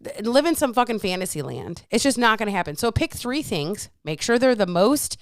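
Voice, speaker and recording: Congested woman on a solo fitness podcast, swears a lot, smooth clear voice, dry close sound. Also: mid-range voice, sing-song, fairly quick, no room sound. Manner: blunt, profane, ranting. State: congested with a cough. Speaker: podcast host and fitness coach. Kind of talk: casual solo podcast rant.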